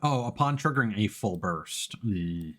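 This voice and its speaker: A man giving casual live gameplay commentary as a streamer, often thinking out loud in a halting way. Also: on the low side, expressive. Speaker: game streamer.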